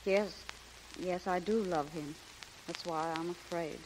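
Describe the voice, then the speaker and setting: A woman's voice: lowish voice, a little slow, natural voice. An actress playing a proud, wounded, stubborn showgirl in love, earnest and dramatic in 1930s radio melodrama style. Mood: anguished confession. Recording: faintly noisy 1930s radio broadcast.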